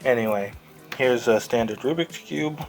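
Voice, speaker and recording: A man's voice: medium pitch, moderate pace, soft background music. A man hosting a casual, unscripted toy review, talking in a rambling, easygoing way as he handles the item. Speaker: toy reviewer and host of the show.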